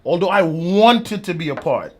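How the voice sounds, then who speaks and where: A man's voice: sits high, animated, normal speed. A male radio host. He chats casually with his guest in a studio, using informal slang as he goes. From Brooklyn, New York. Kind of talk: casual, conversational interview.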